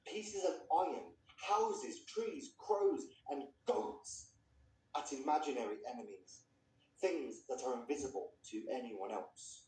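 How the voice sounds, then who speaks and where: A man's voice: mid-range voice, darkish tone. A man presenting and reading literary fiction aloud as a storyteller. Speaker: reader introducing and reading a story. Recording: echoey room, distant microphone.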